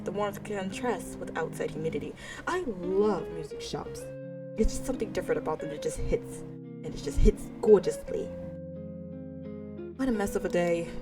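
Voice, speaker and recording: A woman narrating a visual novel in a let's play, reading expressively and dropping in casual side remarks. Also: medium pitch. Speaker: gaming video creator reading a visual novel aloud.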